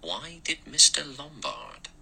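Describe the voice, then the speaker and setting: A man voicing a gruff, suspicious former police inspector who talks in a sceptical, colloquial way. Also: low voice, big pitch swings, normal speed, bright, forceful tone, exaggerated voice.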